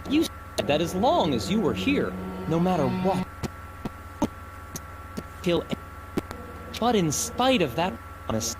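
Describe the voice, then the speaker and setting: A man's voice: sits high, big pitch swings, a little slow, long pauses, very noisy. An actor playing a cold, haughty young male student in an English game dub, condescending and accusatory.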